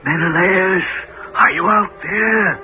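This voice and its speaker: An actor playing a bold, commanding Greek hero who also narrates, in a 1970s radio drama. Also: male voice, high voice, slow, a little hiss or hum. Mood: urgent. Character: brave male Greek hero, commanding leader. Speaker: narrator and lead. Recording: dull vintage radio broadcast.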